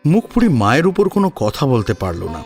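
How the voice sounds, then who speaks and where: A man's voice: mid-range voice, huge pitch swings, fast talker. A man narrating a scripted story expressively, as the narrator of an audio drama. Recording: music under the voice.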